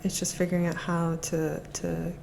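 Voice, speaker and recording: A woman's voice: fairly low pitch, completely flat delivery, normal speed, creaky, background noise. A woman speaking as a guest on a podcast, talking eagerly and often restarting her phrases. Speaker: guest, art auction specialist. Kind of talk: casual conversation.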